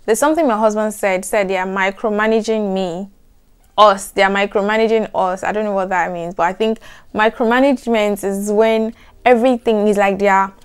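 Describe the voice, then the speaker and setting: A young adult woman with a soft, soothing voice, chatting to camera in an animated, emphatic way. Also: medium pitch, fairly quick, smooth. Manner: animated, emphatic, repeats "trust me". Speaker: vlogger. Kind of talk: casual chat to camera.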